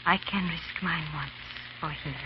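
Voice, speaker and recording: A woman's voice: deep voice, unhurried. A woman playing an anxious, brave and devoted English noblewoman in a 1930s radio drama, earnest and refined. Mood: anxious, resolute. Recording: muffled, crackly 1930s radio recording.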